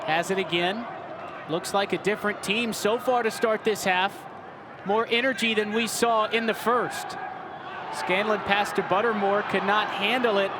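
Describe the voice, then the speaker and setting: A man's voice: sits high, steady tone, normal speed, a crowd in the background, noisy background. A man in his twenties working as a sports broadcaster, hosting and calling play-by-play. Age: 20s.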